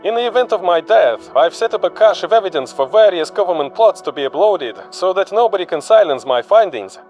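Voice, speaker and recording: Casting: male actor as smug, paranoid know-it-all in a sci-fi audio drama, high voice, faint music behind. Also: animated, a little fast, darkish tone. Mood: defiant. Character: argumentative, paranoid human conspiracy theorist.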